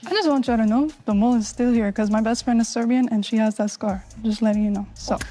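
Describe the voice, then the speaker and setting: Blunt woman with a fairly high voice. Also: quick pace, warm tone. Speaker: game show contestant. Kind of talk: casual group talk.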